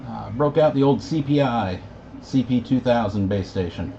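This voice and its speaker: A man's voice: mid-range voice, normal speed, vocal fry. A man, a CB operator hosting a channel in casual radio chat. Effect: CB radio sound. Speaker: CB operator running a live stream. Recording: muffled, noisy CB radio capture.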